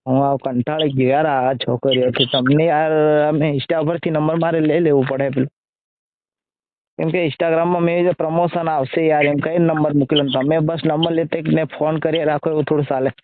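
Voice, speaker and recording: A man's voice: fairly high pitch, flat delivery, moderate pace, no room sound. A man arguing casually over the phone in an exasperated, scolding way. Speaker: social media video creator taking a call. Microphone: phone call. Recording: tinny narrow-band phone line.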